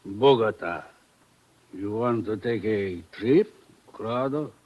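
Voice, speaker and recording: A shady Portuguese smuggler guide from a vintage radio thriller. He speaks curtly and guardedly, in a low voice, giving terse instructions. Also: slow pace, muffled recording. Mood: curt, secretive. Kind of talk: 1950s radio drama acting.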